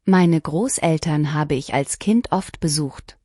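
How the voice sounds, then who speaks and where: A woman reading short lesson sentences one at a time, each clearly and deliberately, for learners to repeat. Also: low voice, warm tone, no room sound, clean studio sound. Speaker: language lesson reader. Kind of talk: scripted shadowing practice reading.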